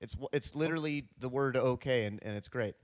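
A man's voice: mid-range voice, brisk. A man in casual podcast banter, rambling and joking with lots of swearing.

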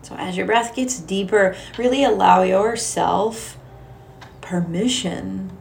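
A woman leading a guided meditation as a teacher, speaking in a gentle, soothing, unhurried way with long pauses. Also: medium pitch, vocal fry, slight background noise. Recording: soft music behind the voice. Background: music.

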